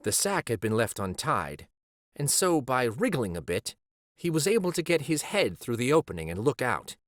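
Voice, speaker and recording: Expressive man reading a storybook aloud. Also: medium pitch, moderate pace, no room sound, studio quality. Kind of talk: expressive scripted storybook narration.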